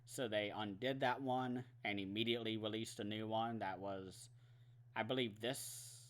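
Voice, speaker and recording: A man's voice: fairly low pitch, steady tone, moderate pace, a bit bright. Casual, rambling man with a dry voice. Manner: rambling, frequent fillers and casual swearing. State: dry throat, losing his voice. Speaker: movie vlogger. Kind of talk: casual monthly wrap-up chat.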